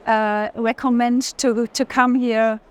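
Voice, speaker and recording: A woman talks as a guest on a tour. Her delivery is unscripted and conversational, pausing often as she searches for words. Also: on the high side, level tone. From Germany. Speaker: art collector, interview guest.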